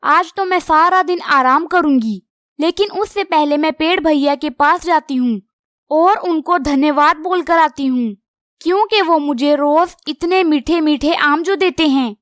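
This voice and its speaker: A woman's voice: high voice, measured pace, a bit bright, no room sound, clean studio sound. A woman voicing a friendly, polite little female bird who sells mangoes in a children's cartoon. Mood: happy, grateful.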